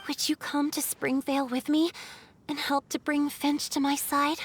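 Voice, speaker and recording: An actress playing a gentle, lovelorn female water spirit in a game, speaking softly in a measured, melancholic way. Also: high voice, flat delivery, a little hiss or hum, natural voice. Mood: quietly resolved. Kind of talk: video game cutscene acting.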